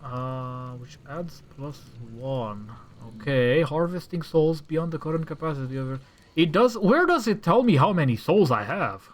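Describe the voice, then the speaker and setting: A man's voice: fairly high pitch, animated, unhurried. A man hosting a gaming channel, thinking aloud in casual gameplay commentary and breaking into exasperated rants. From Romania. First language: Romanian. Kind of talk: casual gameplay commentary while showing a mod.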